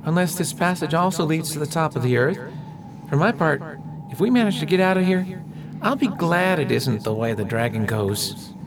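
A man's voice: fairly high pitch, lively intonation, normal speed, background noise. A man playing a spirited young traveler with a blunt, frank manner in a radio play. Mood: defiant. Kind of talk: radio theater acting.

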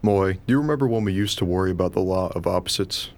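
A man's voice: deep voice, quick pace, darkish tone. A man playing a nervous expectant husband in a radio play, trying to sound confident. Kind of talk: radio drama acting.

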